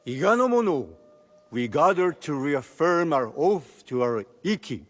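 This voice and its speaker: A solemn clan leader declaims slowly and formally. His voice rises and falls widely in a long, ringing reverb, in the ceremonious style of a game drama. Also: fairly high pitch, big pitch swings. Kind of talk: dramatic video game acting.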